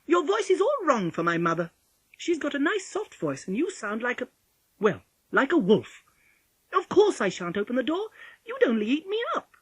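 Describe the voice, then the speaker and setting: A woman's voice: high voice, huge pitch swings, normal speed, slightly rough, no room sound, pitched above the performer's own voice, exaggerated voice, rough recording. A woman voicing a clever, cautious little storybook girl, cheeky, questioning and matter-of-fact. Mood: firm.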